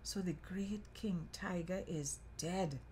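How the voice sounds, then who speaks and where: A woman's voice: high voice, expressive, measured pace, fairly bright tone, slight background noise, pitched above the performer's own voice. A woman playing a clever, inquisitive male bird in a folk tale, with exclamatory, questioning delivery. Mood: probing.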